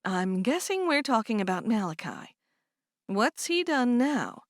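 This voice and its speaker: A woman reading aloud and voicing a kind, calm older sister who speaks gently and reassuringly. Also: on the high side, a little slow, no echo, clean studio sound, pitched above the performer's own voice. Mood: soothing.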